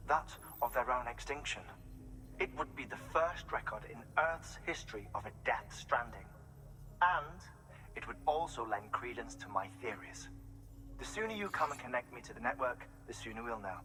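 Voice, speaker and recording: An eager, professorial scientist in a video game. His voice is bright and forward, medium in pitch. He lectures articulately and with theatrical emphasis, as if briefing someone by radio. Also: rough recording. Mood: excited. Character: eager, professorial male scientist delivering theories.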